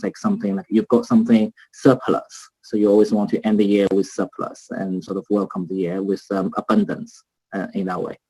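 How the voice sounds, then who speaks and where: A man's voice: lowish voice, darkish tone, low-quality recording. A man from China speaking casually as a panelist on a video call, with many fillers. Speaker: panelist, trader.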